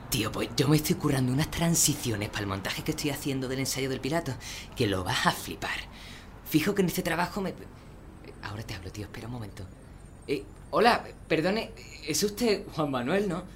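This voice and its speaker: An actor with an Andalusian accent playing an eager young student in a radio drama, slangy and stammering with admiration. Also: male voice, mid-range voice, sing-song, a little fast, a little hiss or hum, close to an everyday voice. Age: young adult.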